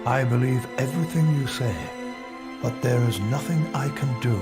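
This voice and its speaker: An older man playing a grave, wise elderly wizard headmaster, solemn and deliberate. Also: mid-range voice, loud music behind the voice, natural voice. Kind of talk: video game voice acting.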